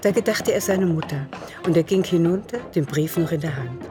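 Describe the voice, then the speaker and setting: A woman's voice: on the low side, a little fast. A woman reading scripted literary narration for a radio drama. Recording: music behind.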